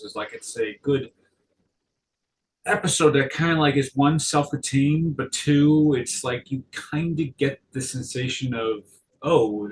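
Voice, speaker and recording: A man's voice: medium pitch, a little hiss or hum. Rambling man, far from the mic. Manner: rambling, halting, self-correcting. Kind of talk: casual chat.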